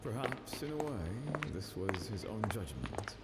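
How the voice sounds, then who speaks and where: An actor playing a serene archangel who speaks in a measured, wistful and gently playful way. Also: male voice, on the low side, sing-song, heavy background noise. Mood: musing. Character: serene archangel man, nostalgic, gently teasing.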